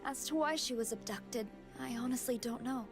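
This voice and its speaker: Casting: actress as earnest, well-mannered lady researcher for anime game, high voice, formal phrasing, music underneath. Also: moderate pace, natural voice. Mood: solemn confession. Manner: courteous, careful, formal. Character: polite, earnest female scientist, a remorseful deserter. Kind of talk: dubbed anime video game acting. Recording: music behind the voice.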